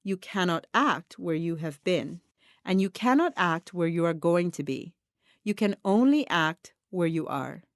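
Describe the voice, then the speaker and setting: A woman reading a self-help audiobook in a deliberate, emphatic, instructional manner. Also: on the low side, animated, dry recording, studio quality. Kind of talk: scripted audiobook narration of a self-help text.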